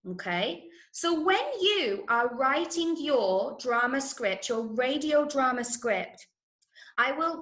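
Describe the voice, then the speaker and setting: High-pitched English woman teaching, unhurried. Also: a bit bright, roomy sound. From Manchester, England. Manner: clear, instructive, emphatic, checking understanding often. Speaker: drama workshop teacher. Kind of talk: online lesson to school pupils. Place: indoors, online video call.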